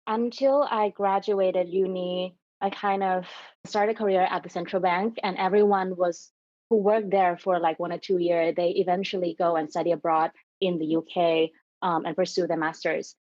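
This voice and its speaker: A young woman from Thailand, a podcast guest, telling her career story in a measured, thoughtful way. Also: fairly low pitch, steady tone, no echo, muffled recording. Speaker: podcast guest, venture capital investor. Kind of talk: conversational interview.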